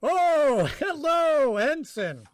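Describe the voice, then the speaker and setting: Male actor, reluctant government official, flustered, very lively intonation, dry sound, radio drama. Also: very high-pitched, extremely slow, no echo, heavily acted. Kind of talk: live radio drama acting.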